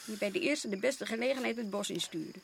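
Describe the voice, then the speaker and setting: An actress playing a weary, disillusioned colonial official's wife in a radio play, sharp-tongued and reproachful. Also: female voice, mid-range voice, a little fast, gravelly, slight background noise, lightly acted. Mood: bitter. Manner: pointed, reproachful. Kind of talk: radio drama acting in Dutch.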